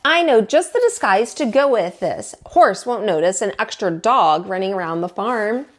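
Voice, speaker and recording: A woman's voice: mid-range voice, lively intonation, lightly acted. A woman voicing an eager, dramatic male turkey from a children's storybook, with exclamatory phrasing. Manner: exclamatory, drawn-out gobbles.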